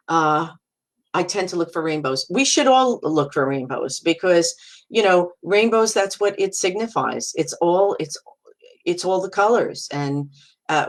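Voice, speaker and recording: Low-voiced Brooklyn woman chatting live. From Brooklyn, New York. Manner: warm and reassuring, frequent restarts and "you know". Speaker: psychic medium hosting a live video. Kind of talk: casual live-stream talk, reading viewer comments.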